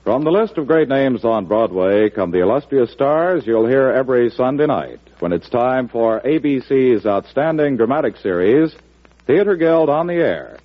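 An American man works as a network radio announcer, reading promotional copy in 1940s style. His mid-pitched delivery is polished and measured. The recording is tinny and narrow, like an old broadcast. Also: expressive.

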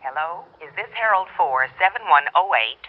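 A woman's voice: low voice, animated, moderate pace, very noisy. Impersonal female phone operator, formal and clipped, old radio drama, tinny phone line. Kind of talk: 1960s radio drama acting.

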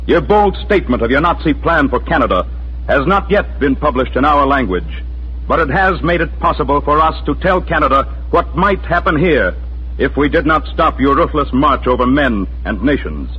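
Male announcer, very husky rough-edged voice, solemn oratory, muffled 1940s radio. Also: medium pitch. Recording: dull 1940s radio broadcast.

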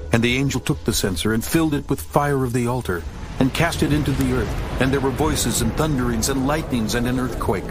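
A man narrating dramatized scripture in a solemn, deliberate manner. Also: on the low side. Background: music.

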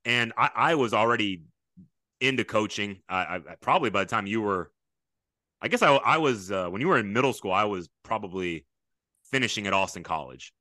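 A man in his late thirties talks at a brisk clip with a bright, forward tone. His voice sits on the low side and is recorded close and dry. He hosts casually, winding through reminiscences on the way to each question. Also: animated, no room sound. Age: late 30s. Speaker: podcast host, football coach. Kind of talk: casual conversational interview.

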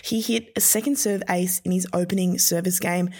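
A woman co-hosting a sports news podcast, telling the stories in a lively, brisk way. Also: fairly low pitch, breathy edge. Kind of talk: scripted sports news reading.

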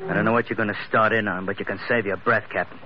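An actor playing a sullen, burly American stowaway bent on revenge, talking tough and slangy in 1940s radio style. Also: male voice, fairly low pitch, steady tone, fast talker, close to an everyday voice. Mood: defiant, bitter. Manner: surly, tough, slangy, grudging. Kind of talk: 1940s radio adventure acting. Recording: muffled old radio recording, faint noise.

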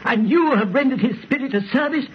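An actor playing a solemn, superstitious preacher in an old radio horror play, speaking formally and pleadingly. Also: male voice, very high-pitched, brisk, slightly rough, heavily acted. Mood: alarmed, urgent. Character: solemn, superstitious preacher fearful of an evil legend. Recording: dull, noisy old radio recording.

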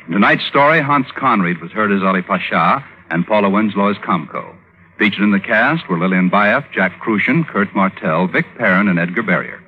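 A man announcing scripted credits as a 1950s radio announcer. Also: lowish voice. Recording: noisy, phone-like old radio recording.

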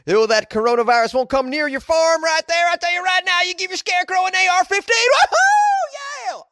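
Male comedy news host, goofy and slangy, very animated delivery, dry sound. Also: very high voice, brisk, no echo. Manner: goofy, excitable, jokey, slangy asides. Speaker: host commenting on odd news stories. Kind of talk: casual comedic news commentary, reading aloud and riffing.

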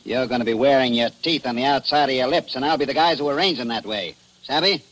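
A man's voice: medium pitch, fast talker, a bit bright, lightly acted. A man with an American accent playing a tough, curt police chief who gives clipped orders in 1940s radio drama style. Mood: menacing. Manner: gruff, commanding, clipped. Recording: noisy old 1940s radio recording.

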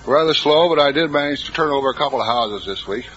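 A man's voice: mid-range voice, expressive, fast, a bit bright. A man answering as a quiz contestant in a plain, earnest, matter-of-fact way on vintage radio. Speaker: quiz contestant, real estate agent. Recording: muffled old radio broadcast.

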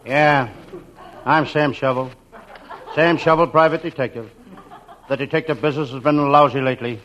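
A man playing a wisecracking, down-on-his-luck private detective in a 1940s radio comedy, with deadpan, slangy delivery. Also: medium pitch, normal speed, crowd noise, natural voice. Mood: deadpan, wry. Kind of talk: 1940s radio comedy acting, scripted first-person narration. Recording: muffled, very noisy old radio recording.

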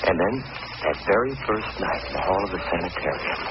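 A man's voice: low voice, animated, fairly quick. Bitter young man, swooping delivery. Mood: grim. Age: young adult. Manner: wry, agitated, self-pitying. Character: bitter, jilted young man, paranoid, drinking heavily. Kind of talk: 1940s radio drama acting, first-person narration. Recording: noisy, muffled old radio recording.

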